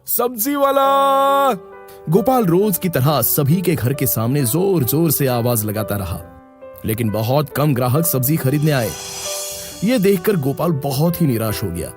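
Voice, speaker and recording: A man narrating a moral story for children in a steady, instructive way. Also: medium pitch, normal speed. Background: background music.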